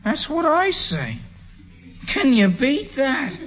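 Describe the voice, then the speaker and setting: A man's voice: very high voice, wildly swooping pitch, takes it slowly, heavily acted. An actor playing an earnest, scheming teenage boy with a hesitant stammer in a 1940s radio comedy. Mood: scheming, excited. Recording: muffled old radio broadcast recording.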